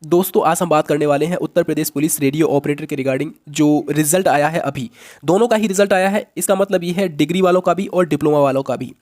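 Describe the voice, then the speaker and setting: A man's voice: a little high, fast, a little mellow. A man hosting an update, explaining things in a teacherly way straight to his viewers and mixing in English words. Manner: teacherly, addresses listeners directly, mixes in English words. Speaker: host of an exam-update channel.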